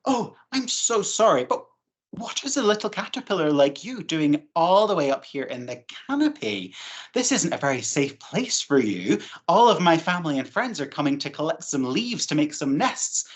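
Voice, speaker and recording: A storyteller voicing a friendly, helpful orangutan who explains things kindly and briskly. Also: medium pitch, very animated, voice pushed up, close to an everyday voice.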